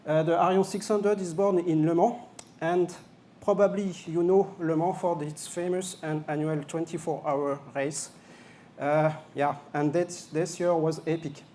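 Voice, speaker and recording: A man's voice: on the high side, fairly even intonation, a little slow, mellow, slight background noise. A man from France with a French accent, presenting a product at a live launch event with some jokes and hesitant fillers. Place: event venue.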